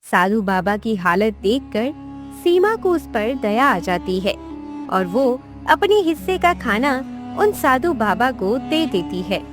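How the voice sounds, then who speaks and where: A woman's voice: on the high side, very animated, slow pace, soft background music. A woman narrating a scripted moral tale for a cartoon, as a storyteller.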